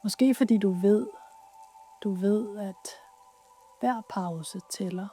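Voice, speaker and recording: Very mellow, soft woman guiding relaxation. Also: mid-range voice, soft background music. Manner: soothing, soft, unhurried with pauses. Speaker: yoga nidra guide. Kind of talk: guided meditation, relaxation.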